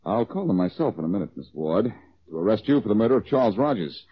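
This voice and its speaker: An actor playing a cocky, quick-witted amateur detective with wry self-assurance in a 1940s radio drama. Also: male voice, on the low side, sing-song, husky. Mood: accusing, pressing. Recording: dull old radio recording.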